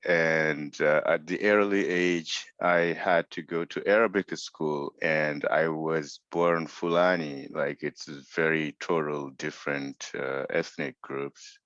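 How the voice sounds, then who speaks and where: Very deep-voiced man from West Africa. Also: fairly even intonation, a bit bright, no echo. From West Africa, Mauritania. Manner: earnest, unhurried, searching for words. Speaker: panelist. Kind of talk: online panel discussion. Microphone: video call. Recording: muffled video call audio.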